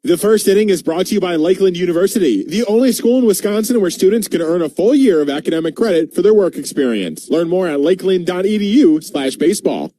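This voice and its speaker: A man reads a scripted radio sponsor message quickly in a high voice. His tone is mellow, with faint noise behind it. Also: lively intonation. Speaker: sponsor announcer.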